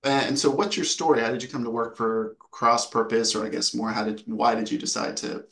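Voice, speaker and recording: A man hosting a podcast, asking a guest questions in a halting way with restarts. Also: medium pitch, steady tone, vocal fry, fairly bright tone. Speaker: podcast host. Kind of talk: interviewing.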